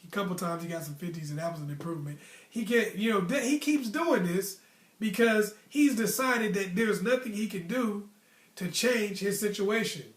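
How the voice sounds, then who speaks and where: A young American man telling personal stories casually and giving motivational advice, with an animated, emphatic, slangy delivery. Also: sits high, normal speed. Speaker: storytelling host. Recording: roomy, echoey sound.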